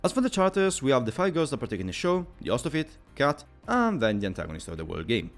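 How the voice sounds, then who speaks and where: A man's voice: medium pitch, wildly swooping pitch, quick pace. A man narrating a scripted video game review in a wordy, opinionated, somewhat formal way. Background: background music.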